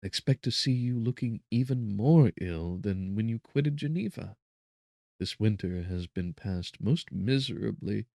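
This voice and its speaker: A man voicing a gentle, anxious young woman reading out her tender, earnest letter in an audiobook. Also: fairly low pitch, vocal fry, no room sound, studio quality, voice pushed up.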